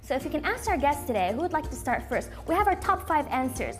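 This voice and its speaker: A woman with a bright, high voice and Arabic as her first language leads a studio talk show. She talks with eager, animated energy. Soft music plays faintly underneath. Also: quick pace. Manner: enthusiastic, animated. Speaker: host.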